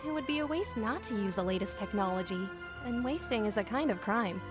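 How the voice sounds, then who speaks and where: A woman's voice: medium pitch, lively intonation, fairly quick, close to an everyday voice. A woman playing a chatty, welcoming, cheerful shopkeeper in a video game. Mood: explaining. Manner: talkative, welcoming, breezy. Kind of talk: video game character dialogue. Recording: clear game music behind the voice.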